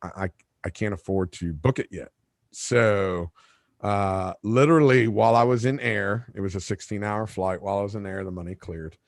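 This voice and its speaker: A man's voice: lowish voice, moderate pace, gravelly, no echo, studio quality. A man whose first language is Spanish, hosting a podcast and telling a long story in a casual, rambling way with lots of asides and restarts. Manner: rambling, self-correcting, with asides. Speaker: podcast host.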